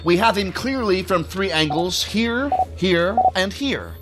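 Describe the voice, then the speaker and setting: An actor playing an officious, easily irritated male federal agent who briefs colleagues in a formal, measured, pompous way for an audio drama. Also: high voice, lively intonation, loud music behind the voice. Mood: authoritative.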